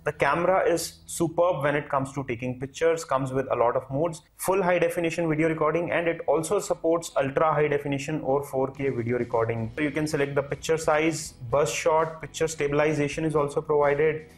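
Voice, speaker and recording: A man's voice: on the high side, fairly even intonation, brisk, hardly any pauses. A man giving a product review as a tech reviewer, explaining things step by step.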